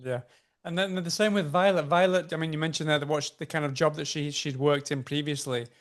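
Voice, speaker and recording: A man's voice: fairly high pitch, steady tone, fast talker. A man hosting an interview, asking long questions with many stammers, fillers and restarts. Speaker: interview host. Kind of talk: conversational interview.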